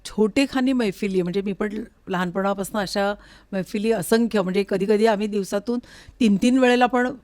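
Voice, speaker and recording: A woman's voice: medium pitch, normal speed, few pauses, a little mellow. A woman hosting an interview in a conversational, appreciative and affirming manner. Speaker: interview host. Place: recording studio.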